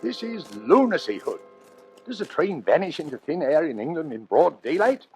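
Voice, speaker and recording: An actor playing a middle-aged, exasperated veteran railway superintendent in an emphatic old-time radio drama style. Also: male voice, fairly high pitch, very animated, normal speed, darkish tone, soft background music. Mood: indignant.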